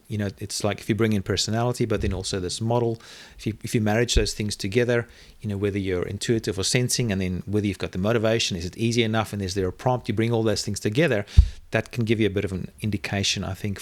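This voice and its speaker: Low-voiced reflective man, halting speech. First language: Afrikaans. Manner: thoughtful, many fillers and restarts. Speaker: interview guest. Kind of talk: reflective conversational interview.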